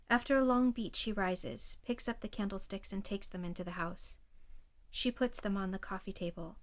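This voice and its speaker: A woman narrating an audio drama in the present tense, with a measured, neutral, scripted delivery. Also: medium pitch.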